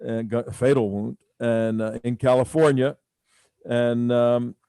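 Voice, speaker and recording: A man's voice: mid-range voice, flat delivery, slow, dark tone, smooth, no echo, studio quality. A man hosting a radio talk show, speaking casually and rambling with many fillers.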